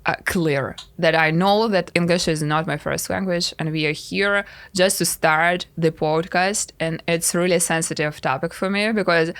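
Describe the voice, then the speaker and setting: A woman in her thirties from Russia with a Russian accent, talking frankly and haltingly about her life on a podcast. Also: on the low side, steady tone, fairly bright tone, dry recording. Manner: frank, halting, many fillers. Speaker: podcast guest, life coach. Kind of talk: casual podcast interview.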